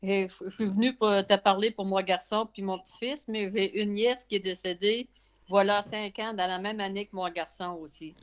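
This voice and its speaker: A woman from Canada with a Québécois accent, a caller on a video call telling her story in a halting, plain-spoken way. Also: mid-range voice, fairly even intonation. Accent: Québécois French.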